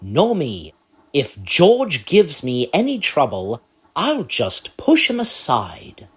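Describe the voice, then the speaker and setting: A man voicing a cocky, tough-talking little engine, boastful and husky. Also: on the high side, huge pitch swings, slow pace, fairly bright tone, heavily acted.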